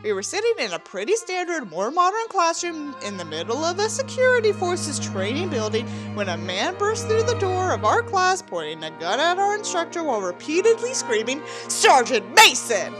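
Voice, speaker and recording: A woman's voice: very high voice, huge pitch swings, fairly quick, hardly any pauses, music in the background. A woman doing a sarcastic dramatic reading, with theatrical, mocking delivery and sweary commentary. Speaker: host reading a book aloud with asides.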